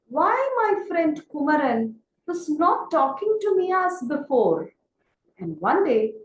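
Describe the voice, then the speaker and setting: A woman telling a children's story in an expressive, deliberate way, putting questions to her listeners. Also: high-pitched. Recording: echoey room.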